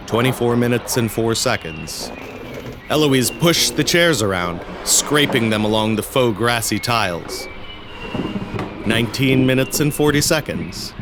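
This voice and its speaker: Male narrator for scripted fiction: mid-pitched, very varied intonation, faint ambience behind the voice. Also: background noise.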